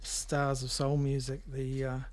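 A man hosting a music show on radio, talking casually and off the cuff in a halting, rambling, hesitant way. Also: medium pitch, monotone. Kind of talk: casual, unscripted back-announcing of records.

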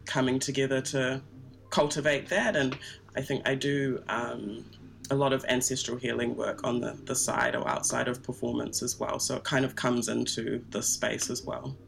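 A woman's voice: rumbling low, creaky, a little hiss or hum, low-quality recording. A woman whose native tongue is Twi, answering casually as an interview guest, reflective and searching for words. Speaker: interview guest, performance artist.

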